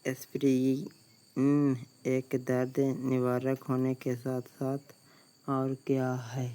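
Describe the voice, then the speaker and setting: A man, a Bihari Hindi speaker, reading out exam questions in a slow, flat way, repeating each question before giving the answer. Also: medium pitch, smooth. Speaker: exam tutor.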